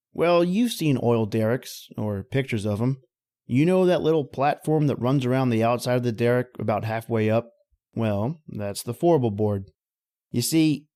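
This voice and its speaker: Folksy, easygoing roughneck played by a male actor. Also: mid-range voice, warm tone, natural voice. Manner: folksy, colloquial storytelling. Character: plain-talking male oil rig roughneck, easygoing and folksy. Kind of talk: old-time radio horror drama acting and narration.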